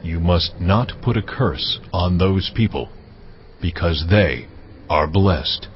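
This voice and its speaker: An actor playing God in a dramatized audio Bible, solemn, measured and authoritative. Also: male voice, sits low, slight background noise. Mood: solemn command. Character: God, solemn, commanding, unhurried divine male voice. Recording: muffled audio under prominent music.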